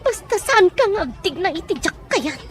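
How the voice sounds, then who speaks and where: A woman's voice: very high-pitched, sing-song, normal speed, a crowd in the background. A woman playing a harsh, domineering madam in an Ilocano audio drama, commanding and scornful. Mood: menacing. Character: harsh, domineering madam interrogating and threatening her captive.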